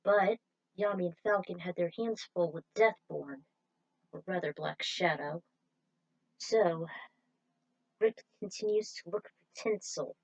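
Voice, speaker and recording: A woman narrating and recapping a fan-written story in a casual, chatty way, pausing to correct herself. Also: fairly low pitch, vocal fry, lo-fi sound. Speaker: fan fiction reader and narrator.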